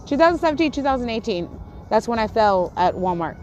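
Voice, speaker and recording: A woman's voice: a little high, very animated, fairly quick, darkish tone, lo-fi sound, a little hiss or hum. Angry woman ranting on a livestream. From Texas. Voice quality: stutter and lisp. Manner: angry, swearing, repeats and restarts, confrontational. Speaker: YouTuber on a livestream. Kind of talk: heated livestream rant to chat.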